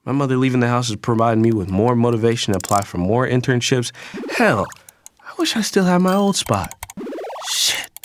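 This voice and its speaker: A man's voice: medium pitch, expressive, moderate pace, slight background noise, natural voice. A young man playing a disgruntled son in an audio drama, narrating in the first person in a casual, slangy, conversational way. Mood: weary, reflective. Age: young adult. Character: disgruntled young son from a troubled wealthy family. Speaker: actor and narrator.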